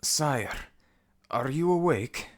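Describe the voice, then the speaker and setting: A man's voice: mid-range voice, animated, slow pace, voice pushed up. A man voicing a deferential, anxious servant of a god in a scripted audio roleplay, speaking formally and earnestly. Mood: urgent, deferential.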